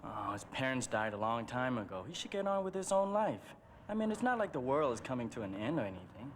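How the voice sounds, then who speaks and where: A man voicing a flippant, laid-back teenage buddy with a breezy manner in an animated series. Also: medium pitch, a little fast, slight background noise, natural voice. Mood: dismissive. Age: young adult. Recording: music behind the voice.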